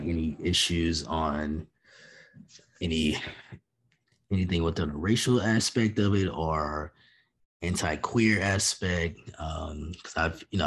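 A man hosting a podcast, asking careful, tentative questions. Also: extremely low pitch. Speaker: podcast host. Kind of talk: interview questions.

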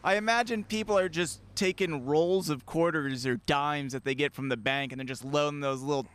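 A man's voice: fairly high pitch, moderate pace, a bit bright, clear, resonant tone. A young man co-hosting a podcast, playful and jokey, chatting casually and swooping his voice up for effect. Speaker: podcast co-host. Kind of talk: casual banter. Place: outdoors.

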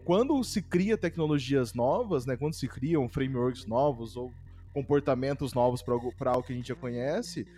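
A man's voice: fairly high pitch, darkish tone. A man with a Brazilian accent hosting a casual tech podcast, thinking aloud with many fillers. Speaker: podcast host.

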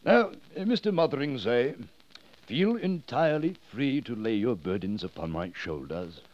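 A man's voice: medium pitch, lively intonation, moderate pace, a little mellow, muffled recording, natural voice. An actor playing a genial, slightly flustered clergyman in a 1950s radio drama.

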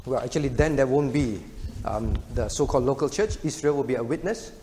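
A man's voice: medium pitch, fairly quick, warm tone, a little hiss or hum. A man preaching an expository sermon in short, emphatic phrases, teaching didactically and posing rhetorical questions. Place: large reverberant room, preaching to a congregation. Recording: reverberant hall sound.

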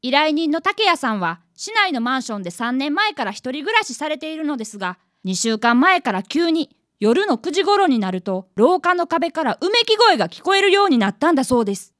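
A woman's voice: high-pitched, sing-song, brisk, hardly any pauses, no room sound, studio quality. A woman playing a polite, timid fifth-grade boy who is an apprentice detective in a radio drama. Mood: earnest narrating. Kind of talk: radio drama narration and dialogue.

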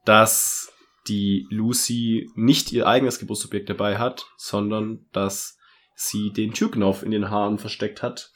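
Man with a cold, chatty and relaxed. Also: lowish voice. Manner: chatty, with many fillers and laughs. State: has a cold. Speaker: podcast co-host. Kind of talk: casual book discussion.

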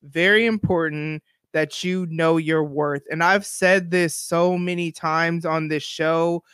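A woman's voice: low-pitched, fairly even intonation, slow, fairly bright tone, smooth, no echo. A woman in her forties hosting her own podcast, talking in a casual, rambling, encouraging way. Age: 40s. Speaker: podcast host. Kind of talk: casual solo podcast chat.

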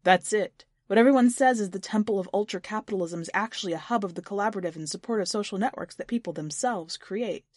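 A woman reading the part of an eccentric, excitable man in an audiobook story, declaiming and firing probing questions. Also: mid-range voice, fast, few pauses, dry recording, clean studio sound, natural voice. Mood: excited, lecturing.

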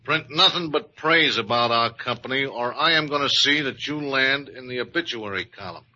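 A man's voice: mid-range voice, moderate pace, highs cut off. An actor playing a menacing, uneducated thug in a 1950s radio comedy-drama, with slangy, bullying tough-guy talk. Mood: threatening. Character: menacing uneducated male thug delivering threats for his boss. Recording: bright, thin vintage radio recording, faint noise.

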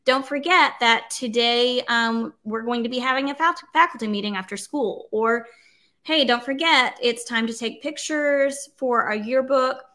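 A woman's voice: fairly high pitch, measured pace, pushed and bright. An enthusiastic, emphatic woman chatting casually as an instructional coach leading a livestream tutorial and answering comments.

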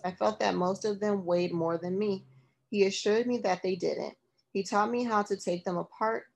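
A woman narrating a memoir as an audiobook, reading in a measured, even way. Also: mid-range voice, gravelly.

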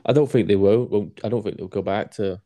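Calm, low-voiced young man teasing dryly. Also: fairly quick. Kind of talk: casual banter.

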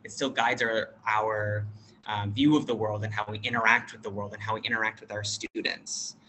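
A non-binary American teacher at an international school, speaking in a relaxed interview over a video call. They talk thoughtfully and hesitantly, with many fillers and restarts. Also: mid-range voice, moderate pace, a little hiss or hum, low-quality recording. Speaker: workshop facilitator.

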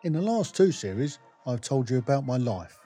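A man's voice: medium pitch, sing-song, normal speed, mellow, breathy edge, soft background music. A male podcast host who reads a scripted introduction and then asks friendly, brief questions, with an occasional light joke.

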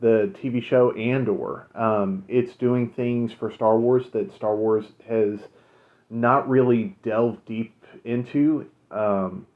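A man co-hosting a podcast, chatting casually and recommending shows, relaxed with many fillers. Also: mid-range voice, slow pace. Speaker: podcast co-host. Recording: phone-like narrow sound.

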